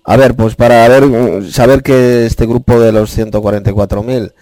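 A man's voice: medium pitch, fairly even intonation, moderate pace, no echo. A man, teaching Bible prophecy in an online chat room, speaking emphatically and asking rhetorical questions. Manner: emphatic, warns forcefully, asks rhetorical questions.

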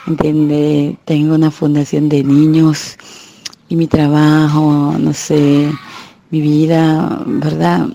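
A woman with a Dominican accent speaks in a very low, gravelly voice. She talks slowly and rambles through a devout greeting. Her tone is dark and mellow. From Dominican Republic. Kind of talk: voice message to a church group.

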